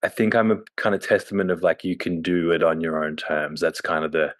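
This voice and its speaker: A hoarse man introducing himself as a panel guest on a video call, relaxed and self-mocking. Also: low voice, a little fast, no echo, clean studio sound.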